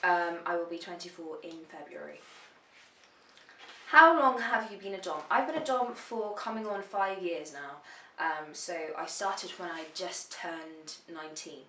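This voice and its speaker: A woman's voice: fairly low pitch, a little slow, sharp tone. Frank, swearing young woman. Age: 20s. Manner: frank and chatty, swears freely. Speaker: dominatrix answering viewer questions. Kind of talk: casual vlog Q&A. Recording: roomy echo, voice a bit far from the mic.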